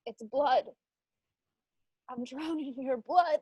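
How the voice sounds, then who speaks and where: Distraught mother for an actress: high voice, very slow halting delivery, dry close sound. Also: dark tone, no echo. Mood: bewildered. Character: bewildered, distraught mother lost in a nightmare. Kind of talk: radio drama acting.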